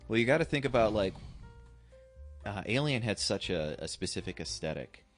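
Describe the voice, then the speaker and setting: Very deep-voiced man in casual chat. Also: big pitch swings, normal speed, faint music behind. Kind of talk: casual chat about films.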